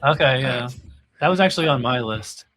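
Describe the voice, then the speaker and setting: A man's voice: medium pitch, animated, moderate pace, fairly bright tone. A man hosting a podcast in a casual chatting style, steering the conversation. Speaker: podcast host. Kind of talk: casual music chat. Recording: music clearly playing behind voice.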